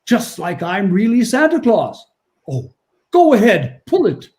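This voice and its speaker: A man's voice: high voice, wildly swooping pitch, a little slow, darkish tone, full character voice. A man playing a kindly, jolly old Santa Claus with a genial, courtly manner in a 1940s-style radio play.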